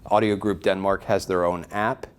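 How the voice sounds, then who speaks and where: A man's voice: low voice, steady tone, moderate pace, clean tone. A man speaking as an enthusiastic shop presenter, giving an informal product review straight to viewers. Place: shop listening room, indoors.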